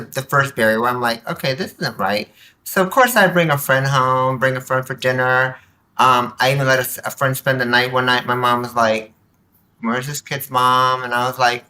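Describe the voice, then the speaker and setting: A man's voice: mid-range voice, normal speed. A man speaking as a podcast guest, telling personal stories casually, acting out bits of dialogue and tossing in rhetorical questions. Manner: animated retelling with quoted dialogue and rhetorical questions. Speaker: podcast guest, community activist.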